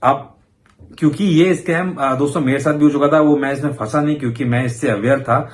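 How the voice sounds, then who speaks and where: A man's voice: medium pitch, steady tone, brisk. A man hosting an informal explainer for viewers, talking conversationally and mixing in English words. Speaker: host of a banking awareness video channel.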